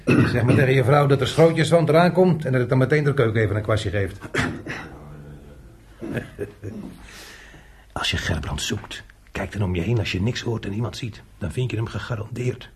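An actor playing a chatty, jovial unemployed handyman in a radio drama, talking colloquially with a raspy, husky voice. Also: mid-range voice, a little fast, darkish tone, a little hiss or hum, natural voice. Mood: amused, jovial. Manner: talkative, colloquial, chuckling. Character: chatty, jovial unemployed workshop handyman showing a newcomer around.

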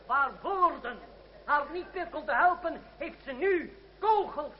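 An actor playing a fiery revolutionary orator in a radio drama, declaiming solemnly to rouse townspeople to arms. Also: male voice, very high-pitched, lively intonation, a little slow. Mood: indignant. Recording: muffled old radio recording, crowd around.

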